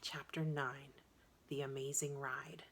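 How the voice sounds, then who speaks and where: A woman reading a children's adventure story aloud in an expressive, dramatic narrating style. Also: rumbling low, takes it slowly, background noise. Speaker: storybook reader.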